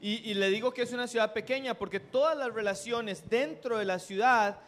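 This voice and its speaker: A man's voice: very high voice, a little fast, a bit bright, a little hiss or hum. A man in his thirties interpreting a speaker phrase by phrase on a handheld mic, with frequent restarts. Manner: follows each phrase, with restarts and self-corrections. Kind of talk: live consecutive interpreting. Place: stage in a hall.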